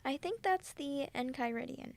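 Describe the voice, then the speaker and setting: A woman's voice: high-pitched, animated, normal speed, dry recording. A woman playing an easygoing, supportive student friend in an audio drama, talking in a casual, encouraging way. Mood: casual, upbeat.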